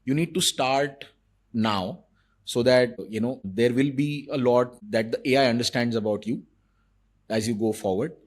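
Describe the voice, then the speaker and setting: A man's voice: medium pitch, a little slow. A man, a marketing executive, answering interview questions in a thoughtful, explanatory way with frequent fillers.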